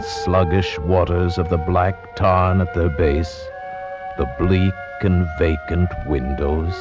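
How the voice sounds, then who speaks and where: Very deep-voiced grave gothic gentleman. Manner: solemn, deliberate, theatrical. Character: grave, dread-filled gentleman narrating a gothic tale. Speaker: narrator and visiting friend. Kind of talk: old-time radio horror narration. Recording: muffled vintage radio recording with music. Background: music.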